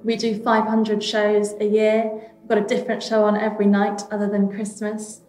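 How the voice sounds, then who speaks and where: A British woman narrates an audio tour in a medium-pitched voice. The room around her is clearly echoey, and faint music sits behind her. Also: monotone, normal speed, a little mellow. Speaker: theatre arts manager and guide.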